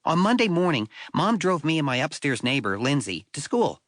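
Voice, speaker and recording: A man playing a wry, self-deprecating sixth-grade boy, telling his story in a conversational, expressive way for an audiobook. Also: fairly high pitch, moderate pace, dry recording, clean studio sound. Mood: worried, reflective. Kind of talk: first-person audiobook storytelling.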